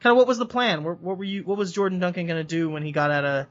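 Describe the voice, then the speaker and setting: Rambling podcast host, higher-voiced man. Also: very fast, fairly bright tone, highs cut off. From US West Coast. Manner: rambling questions with many fillers. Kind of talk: casual interview hosting.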